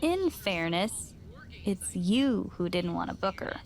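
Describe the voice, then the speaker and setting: An actress playing a frank gym owner in a video game, mentoring with dry, teasing straight talk. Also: female voice, lowish voice, sing-song, takes it slowly, creaky, heavily acted. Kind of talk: video game cutscene dialogue.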